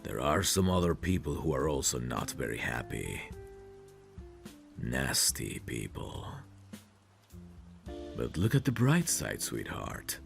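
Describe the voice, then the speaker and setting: A man playing a possessive, protective mafia boss in an intimate audio roleplay, calmly menacing, commanding and smug. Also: soft background music, heavily acted. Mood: calm menace. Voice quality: deep, gravelly. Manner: slow, commanding, smug, teasing endearments.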